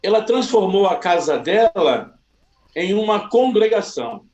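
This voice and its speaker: A man from Salvador, a pastor giving a slow, humble personal testimony. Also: high-pitched, lively intonation, a little mellow, echoey room, lo-fi sound. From Salvador, Bahia, Brazil.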